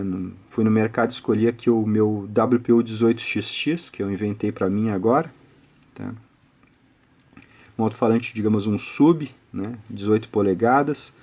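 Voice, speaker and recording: Husky Brazilian man explaining things. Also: lowish voice, mellow. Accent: Brazilian Portuguese. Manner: conversational, explanatory, frequent tag questions. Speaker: audio electronics instructor, channel host. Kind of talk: informal step-by-step technical tutorial.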